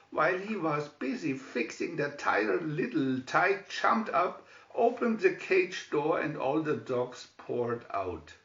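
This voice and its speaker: A man reading a scripted children's story in a deliberate manner, announcing pictures as a narrator. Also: on the high side, fairly bright tone. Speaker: storybook reader. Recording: muffled, echoey room recording.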